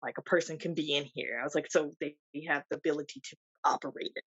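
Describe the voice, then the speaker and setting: A woman with a slightly low voice tells stories on a casual podcast and breaks into laughter often. The sound is dry and close, with a dull, lo-fi tone. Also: fairly even intonation, quick pace, husky, dry recording. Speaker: podcast co-host. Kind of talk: casual chat.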